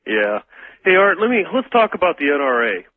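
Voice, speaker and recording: An American man phoning a radio show, chatting casually and haltingly as he searches for his words. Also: on the high side, sing-song, dry recording. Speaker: first-time radio caller. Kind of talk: casual call-in chat. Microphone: telephone. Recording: thin phone line on radio.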